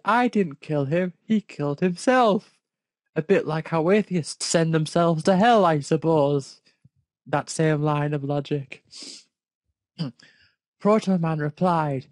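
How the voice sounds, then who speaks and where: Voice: medium pitch, darkish tone, no echo. A person reading fan fiction aloud and breaking off to question and poke fun at it off the cuff. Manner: breaks off to question and mock the text. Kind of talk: amused read-aloud with off-the-cuff commentary.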